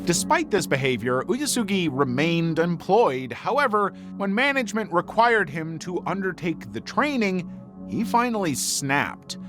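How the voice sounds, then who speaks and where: A man's voice: high voice, very animated, measured pace, music in the background. A man reading scripted true-crime documentary narration as the narrator.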